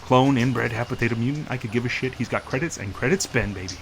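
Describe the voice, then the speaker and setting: A man's voice: medium pitch, fast talker, creaky, a bit bright, a little hiss or hum. A man playing a brash, foul-mouthed dealer in illegal implants in a science fiction audio drama, blunt and full of slang. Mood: brash, irritated.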